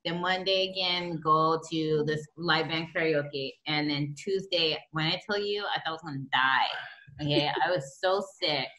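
A woman in her thirties co-hosting a video call from home, telling her story in an animated way and acting out the quotes. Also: low voice, normal speed. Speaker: co-host. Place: living room at home. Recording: echoey room audio.

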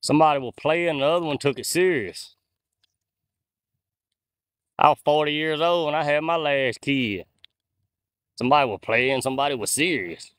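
A man in his forties talks to his viewers as he walks through the woods at night, holding his phone on a gimbal. His voice is smooth and clear and sits at an ordinary pitch, with dry, close sound and no room echo. He rambles in a folksy way, reading out chat names. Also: moderate pace, pauses often, fairly bright tone. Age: 40s. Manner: chatty, folksy, reads out viewer comments. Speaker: outdoors livestreamer. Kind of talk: casual live-stream chat with viewers. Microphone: phone on a handheld gimbal.

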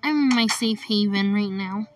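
Man putting on a high, panicky game character. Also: moderate pace, fairly bright tone, recorded from a distance, a little hiss or hum. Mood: uneasy. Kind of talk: improvised video game roleplay.

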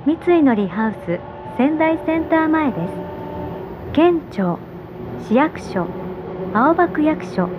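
A high-voiced woman reads formal subway announcements with careful, measured diction. The sound is dull, as if recorded from a carriage speaker, with clear train noise around it. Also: big pitch swings. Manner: careful, measured station-name enunciation. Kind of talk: scripted transit announcements, polite and formal. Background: running subway train noise.

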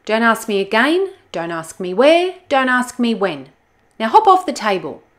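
Woman as a loud, exasperated mother bird. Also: a little high, big pitch swings, voice pushed up. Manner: brisk, loud scolding. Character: cross, exasperated mother brolga, dismissive and bossy. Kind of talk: storybook character voice in a read-aloud.

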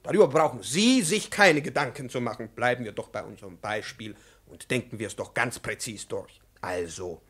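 Fussy, swooping professor. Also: male voice, mid-range voice, lively intonation, normal speed, a bit bright, slightly rough. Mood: fussily encouraging. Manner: pedantic, effusively polite, theatrically emphatic. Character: fussy male professor, overpolite, increasingly exasperated tutor. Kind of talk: 1950s German-language radio play acting.

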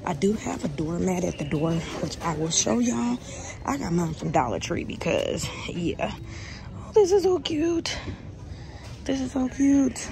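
A woman's voice: mid-range voice, big pitch swings, unhurried, creaky. Young woman, lifestyle vlogger, easy talkative delivery, slight background hum. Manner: chatty, addresses viewers as y'all. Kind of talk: casual chat to camera. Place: home, then shopping in a store.

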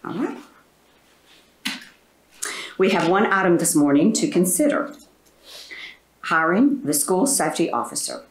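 A woman chairing a school board meeting, reading motions and procedure in a brisk, formal way. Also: fairly low pitch, very animated. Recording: echoey meeting room.

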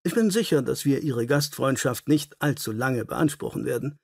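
A man voicing a keen, courteous, self-assured Victorian detective in an audiobook, formal, brisk and inquisitive. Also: medium pitch, gravelly, no echo, clean studio sound. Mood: decisive.

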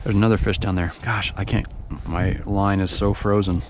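Excited man ice fishing in loud wind. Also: on the low side, normal speed. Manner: excited outbursts when fish bite. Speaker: fishing vlogger. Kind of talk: casual running commentary while ice fishing. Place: outdoors on a frozen pond in strong wind. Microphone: body-worn action camera. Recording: loud wind noise on the mic.

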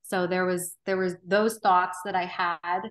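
A woman guest on a podcast, talking earnestly and thoughtfully with frequent pauses and restarts. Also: lowish voice, level tone. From Romania. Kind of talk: reflective interview conversation.

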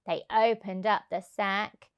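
A woman, around her thirties, reading a children's rhyming storybook aloud in an expressive, rhythmic way. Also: medium pitch, slow, clear, resonant tone. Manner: animated, rhythmic, emphatic delivery. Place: indoors on a sofa. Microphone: clip-on lapel mic.

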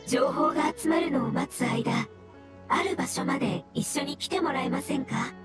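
A woman's voice: sits high, normal speed, music in the background, natural voice. A woman voicing a polite, sorrowful mushroom spirit in an anime game, formal and earnest. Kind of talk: anime game dialogue acting.

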